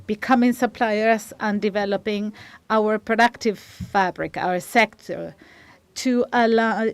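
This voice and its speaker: A woman giving live interpretation of a conference panel, halting now and then with restarts as she keeps up with the speakers. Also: medium pitch, smooth, no echo. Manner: halting, with frequent restarts while keeping up. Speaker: simultaneous interpreter.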